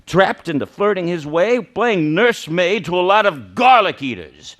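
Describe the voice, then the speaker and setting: An actor playing a greedy, scheming old male banker in a radio play, gruff and overbearing. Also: sits high, very animated, normal speed, a bit bright. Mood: smooth persuading.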